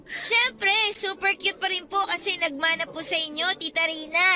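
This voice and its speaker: A woman's voice: extremely high pitch, steady tone, normal speed, sharp tone, full character voice. A woman playing a sweet, polite young schoolgirl in a radio drama. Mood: cheerful, affectionate. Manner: polite, hesitant when questioned. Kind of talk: radio drama acting in Tagalog.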